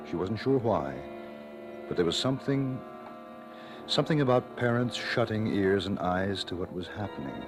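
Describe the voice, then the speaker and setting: A man narrating an old-time radio suspense drama as a grave, measured, ominous storyteller. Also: low-pitched.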